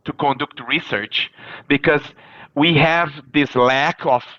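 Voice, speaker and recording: A Brazilian-accented man with a fairly high, bright and forceful voice, answering questions in halting phrases with many fillers and restarts. The audio sounds dull and lo-fi. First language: Portuguese. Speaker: professor guest. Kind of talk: interview answers.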